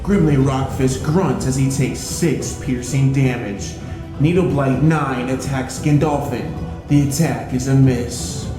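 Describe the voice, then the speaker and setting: A man's voice: medium pitch, slow pace. A man acting as game master, giving scripted narration of a fantasy adventure. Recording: roomy echo, music behind. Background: music.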